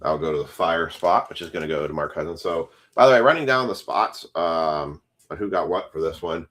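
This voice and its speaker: A man's voice: low-pitched, expressive, a little fast, a bit bright. A man casually chatting on a live stream while opening trading card packs, rambling to his viewers.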